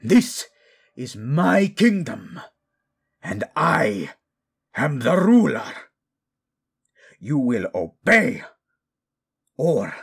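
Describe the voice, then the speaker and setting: A man acting a slow, menacing old king. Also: on the low side, vocal fry, breathy edge, exaggerated voice. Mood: threatening. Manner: imperious, slow, swinging from hissed to shouted. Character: menacing old male king, cruel and contemptuous. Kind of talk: dramatic fantasy audio drama acting.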